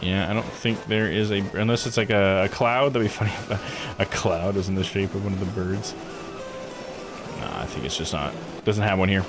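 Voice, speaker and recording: A man's voice: low voice, a little fast. A man doing a casual let's-play, thinking aloud as he plays. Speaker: video game streamer. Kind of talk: casual let's-play commentary, reading game text aloud. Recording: game music under the voice. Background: video game music.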